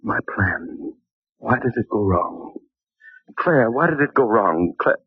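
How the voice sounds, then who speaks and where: An actor playing a brooding, guilt-haunted male chemist in an old-time radio horror drama, speaking in halting, repetitive monologue. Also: medium pitch, lively intonation, vocal fry, slightly rough, no room sound, phone-quality sound, full character voice. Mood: anguished.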